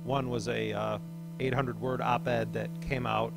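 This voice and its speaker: A man's voice: medium pitch, flat delivery, a little slow, vocal fry, over loud music. A man speaking at a public panel in front of an audience. He talks unscripted, with many fillers and restarts. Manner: says he has a loud voice. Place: event hall before an audience.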